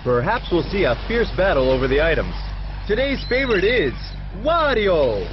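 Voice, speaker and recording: A man's voice: very animated, moderate pace. A man voicing an upbeat race broadcaster for a kart game, calling each course with rising hype. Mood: hyped. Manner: rising hype on course names, swinging pitch. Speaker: race announcer. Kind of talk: excited game announcer. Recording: dull game audio with noise.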